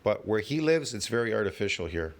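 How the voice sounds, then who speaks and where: A man's voice: fairly low pitch, very animated, a little fast, very noisy. A man hosting his own channel, giving brash, rambling, dismissive commentary on another creator's video from home. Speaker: channel host reacting to videos. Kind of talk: casual reaction commentary.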